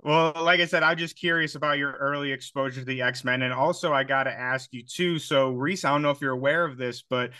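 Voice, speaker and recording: Male, young adult, interviewer. Bright, punchy tone, enthusiastic casual delivery, close and dry recording. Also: medium pitch, fairly even intonation, a little fast, few pauses, dry recording. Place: indoors, on a video call.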